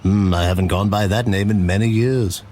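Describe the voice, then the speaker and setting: Man as a low-voiced, weary old hermit mentor. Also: fairly even intonation, moderate pace, darkish tone, a little hiss or hum, lightly acted. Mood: wistful. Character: wise, weary older male hermit mentor. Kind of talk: cartoon parody of space-opera mentor.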